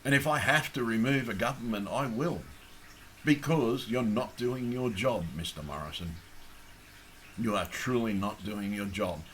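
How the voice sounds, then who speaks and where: A croaky, hoarse Australian man making an impassioned, blunt appeal straight to camera, swearing heavily as he rants. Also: on the low side, measured pace, a bit bright, a little hiss or hum. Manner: blunt, ranting, heavy swearing. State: hoarse, losing his voice. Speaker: activist.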